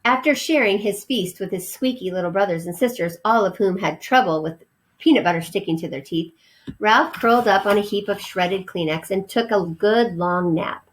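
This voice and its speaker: A woman storyteller with a medium-pitched voice reads a children's book aloud. She narrates in an explanatory way, as if for young listeners. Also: moderate pace, slight background noise, poor audio.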